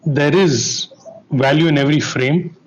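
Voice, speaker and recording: A man's voice: a little high, unhurried, muffled recording. A man speaking on a conference panel, explaining at length and asking listeners to bear with him.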